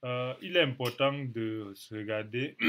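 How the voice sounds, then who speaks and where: A man in his thirties, sick with a cold and clearing his throat, teaching from the Bible to a webcam in a small room at home, repeating phrases slowly for emphasis. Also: mid-range voice, expressive, sharp tone. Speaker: preacher.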